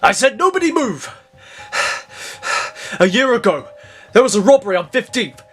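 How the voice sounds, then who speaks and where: A man's voice: very high-pitched, very animated, normal speed, background noise. An actor playing a deranged, grief-stricken, vengeful gunman with a frantic, impassioned delivery in an audio drama. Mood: enraged, grieving.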